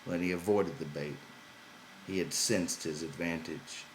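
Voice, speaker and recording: Male audiobook reader, unhurried scripted storytelling, roomy sound with faint noise. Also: low voice, steady tone. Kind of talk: scripted novel narration.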